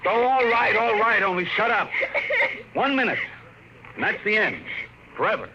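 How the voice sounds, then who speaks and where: A harried middle-aged father, his voice swinging widely from weary exasperation to frantic shouting. It is classic 1950s radio drama acting on a dull, lo-fi old transcription. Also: sits high, sing-song, moderate pace, very noisy.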